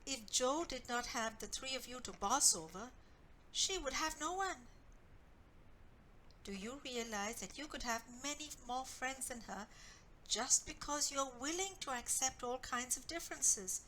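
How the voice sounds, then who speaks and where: A woman storyteller voicing a gentle, reassuring mother who speaks in a patient, soothing way. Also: high-pitched, expressive, unhurried, a little hiss or hum, exaggerated voice, poor audio. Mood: reasoning. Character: gentle, reassuring, reasoning mother of a young girl.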